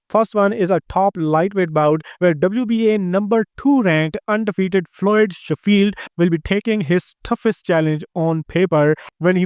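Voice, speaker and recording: A man with an Indian accent hosting a combat-sports news roundup, briskly reading lists of names and records. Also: high voice, clear, resonant tone, dry recording, studio quality. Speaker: host.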